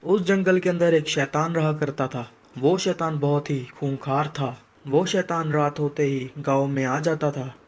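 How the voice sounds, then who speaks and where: A man's voice: a little high, steady tone, measured pace, hardly any pauses. A man narrating a scripted moral story in a dramatic storytelling style.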